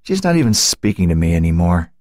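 A man's voice: low-pitched, very animated, quick pace, a little mellow, dry recording, clean studio sound. A man voicing an anguished, defensive teenage boy in an audiobook, with a tight, breaking voice and pleading outbursts. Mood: hurt, defensive. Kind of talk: audiobook character acting.

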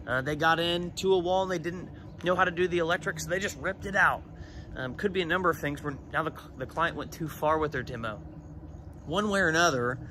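A man in his thirties talks straight to a phone camera at arm's length, plain-spoken and matter-of-fact as he walks viewers through a loan question. His voice sits fairly high for a man. He is outdoors beside a brick building, with street and passing-car noise clearly heard behind him. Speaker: renovation loan consultant. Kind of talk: casual explainer to camera. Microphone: phone camera held at arm's length.

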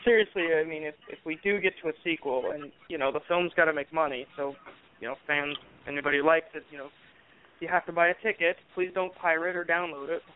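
A film director from Colorado, talking casually and joking in an interview with plenty of fillers. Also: male voice, fairly high pitch, fast, slight background noise. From Colorado, USA. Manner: joking, talkative, thinks aloud with fillers. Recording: tinny phone line.

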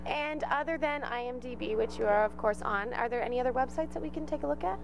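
A woman's voice: high-pitched, quick pace, creaky, over music. A young woman reporter asking questions outdoors on a handheld mic, friendly and laughing along. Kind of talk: interview questions. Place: outdoors by a hedge of plants.

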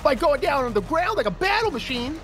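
A man's voice: extremely high pitch, very animated, brisk, a bit bright, soft background music. A man bantering casually over a video game in a goofy, playfully boastful way. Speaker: gamer. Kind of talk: casual, joking gameplay banter.

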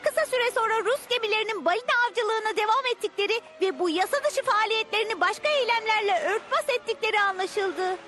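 A woman's voice: very high voice, fairly quick, hardly any pauses, loud music behind the voice. A woman voicing an earnest child reporter in a cartoon dub, giving informative accounts. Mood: earnest, indignant. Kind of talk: animated educational cartoon dub.

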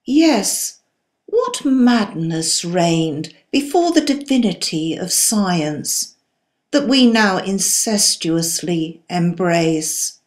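A woman reciting poetry in a solemn, deliberate, dramatic and declamatory manner. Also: lowish voice, expressive. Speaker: poetry reader.

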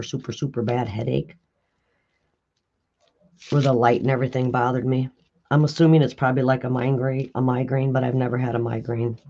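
Raspy woman chatting through a craft demo. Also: extremely low pitch, highs cut off. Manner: chatty, answers viewer comments while working. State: hoarse after illness. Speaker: craft video host. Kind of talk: live craft tutorial chat. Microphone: overhead camera on a craft table.

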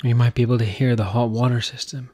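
Male meditation guide, mid-pitched voice, gentle instructional delivery, short phrases with space between them. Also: level tone, fast talker.

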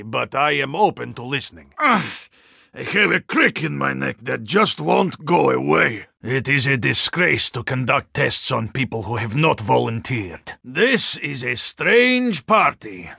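A male actor playing a huge, earnest, courteous Russian yeti in a video game, with a Russian accent, gravelly voice and formal, stilted phrasing. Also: on the high side, bright, forceful tone, no echo, clean studio sound, heavily acted. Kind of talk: video game character voice lines.

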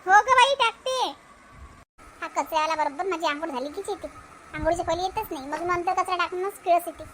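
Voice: extremely high pitch, fairly quick, fairly bright tone, slight background noise. A performer voicing a chatty young married village housewife in a cartoon family comedy, with a childlike voice and a colloquial Vidarbha Marathi accent.

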